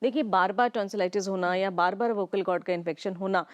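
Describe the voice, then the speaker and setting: Woman doctor, mid-pitched, patient and explanatory. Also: fairly even intonation. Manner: measured, explanatory, frequent "uh" pauses. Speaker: Ayurveda doctor. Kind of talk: explanatory interview answers.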